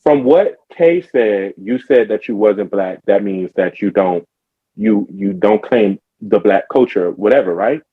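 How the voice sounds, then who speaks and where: A man's voice: lowish voice, sing-song, unhurried, vocal fry, husky, no room sound, narrow, tinny audio. A man hosting a casual podcast, probing and pushing back on the answers. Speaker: podcast host. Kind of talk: casual chat.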